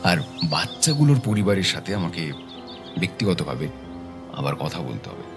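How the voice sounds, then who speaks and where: An actor playing a serious, determined detective in an audio drama, speaking in a deliberate way. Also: male voice, low voice, big pitch swings, vocal fry, over music, heavily acted. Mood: grave. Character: serious, determined male private detective, methodical and businesslike.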